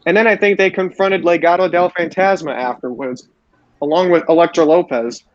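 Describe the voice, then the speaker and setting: A man's voice: on the high side, steady tone, a little fast, no room sound, highs cut off. A man hosting a podcast, recapping wrestling shows for his co-hosts. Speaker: podcast host.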